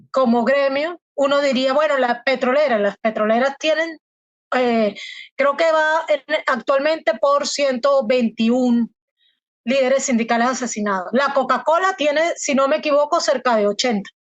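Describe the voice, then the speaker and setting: A woman's voice: sits high, measured pace, no echo, dull audio. A woman born in Colombia and raised in Venezuela, giving impassioned, emphatic interview answers full of rhetorical questions. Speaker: guest analyst.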